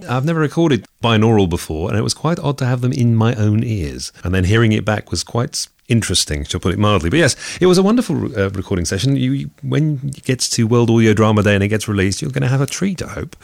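A man's voice: medium pitch, animated, fast, gravelly, few pauses, a little mellow, no echo, studio quality. A man hosting a podcast, chatting enthusiastically about recording an audio drama. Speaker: podcast host. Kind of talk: casual podcast chat.

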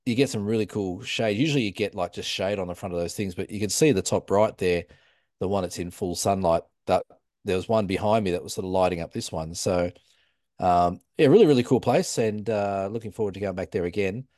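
A man's voice: low-pitched, fairly quick, a little mellow, dry recording, studio quality. A man with an Australian accent, co-hosting a casual photography podcast, easygoing and joking. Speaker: podcast co-host. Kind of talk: casual chat.

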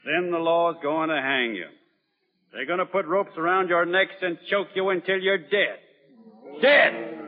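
An actor playing a stern, weary, commanding army doctor with a Southern US accent in an old film drama. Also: male voice, sits high, in a large hall. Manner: forceful, clipped orders. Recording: muffled, narrow-band old film soundtrack.

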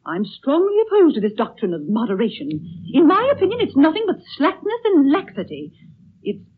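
A woman's voice: high voice, big pitch swings, a little fast. An actress playing a prim, stubborn, righteous woman missionary, emphatic and disapproving, in a 1930s radio drama. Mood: resolute. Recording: tinny, noisy 1930s radio recording.

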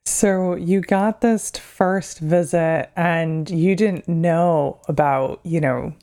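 A woman hosting an interview, asking questions haltingly with many fillers. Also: on the low side, warm tone. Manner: hesitant, fills with "you know". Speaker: podcast host.

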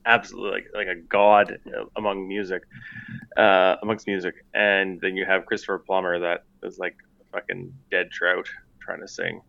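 A man's voice: low-pitched, flat delivery, moderate pace, creaky, fairly bright tone, muffled recording. A man co-hosting a casual podcast, rambling and profane, laughing often. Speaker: podcast co-host. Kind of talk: casual chat.

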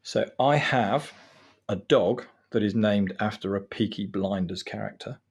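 A man in his forties from England talks on a podcast with a somewhat low, husky voice. He is chatty and self-deprecating. He mixes advice with stories from his own working life. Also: measured pace. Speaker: guest. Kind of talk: podcast interview.

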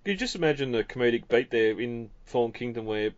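A man hosting a podcast, chatting in a relaxed way with chuckling asides. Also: medium pitch, quick pace, dull audio. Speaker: podcast host. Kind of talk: casual chat and recap.

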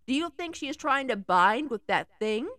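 A woman's voice: high voice, very animated, moderate pace, fairly bright tone, dry recording, clean studio sound. A woman voicing an anxious male elf who asks nervous questions.

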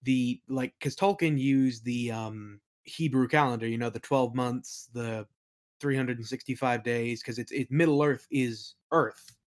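A man chatting informally as an interview guest, explaining his creative work in a halting, think-aloud way. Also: mid-range voice. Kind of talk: casual chat, explaining own creative work.

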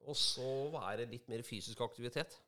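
Mid-pitched man, casual podcast co-host. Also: bright, forceful tone. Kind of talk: casual banter.

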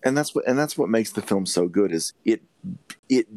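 A man's voice: fairly low pitch, lively intonation, moderate pace, a little mellow, no echo. A man co-hosting a podcast, giving casual film opinions emphatically and repeating phrases for stress. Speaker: podcast co-host. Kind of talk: casual film review chat.

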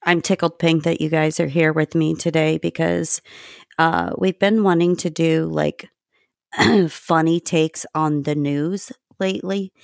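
A woman's voice: deep voice, fairly even intonation, measured pace, creaky, no room sound, clean studio sound. A woman hosting a casual podcast, chatty and giggly, swearing now and then. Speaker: podcast host.

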